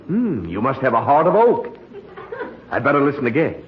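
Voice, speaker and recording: A man's voice: mid-range voice, very animated, moderate pace, close to an everyday voice. Man playing fussy, dotty doctor, echoing old radio. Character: dotty, scheming male doctor, mild and fussy. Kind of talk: 1940s American radio situation comedy acting. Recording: muffled, echoing, noisy old radio recording.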